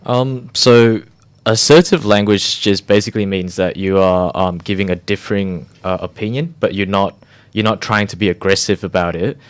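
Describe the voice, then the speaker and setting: Man, very low flat voice, smooth and resonant, hesitant radio panel talk. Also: normal speed. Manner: hesitant, many ums. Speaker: student guest.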